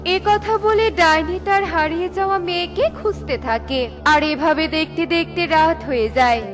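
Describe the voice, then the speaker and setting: A woman's voice: extremely high pitch, moderate pace. A woman reading scripted narration for a children's cartoon story. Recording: music behind the voice.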